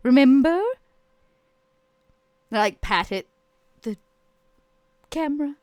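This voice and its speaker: A woman improvises as a player in a tabletop game. Her voice is on the high side with very lively pitch movement, recorded close and dry. She moves playfully between narrating and speaking in character. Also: takes it slowly, no echo.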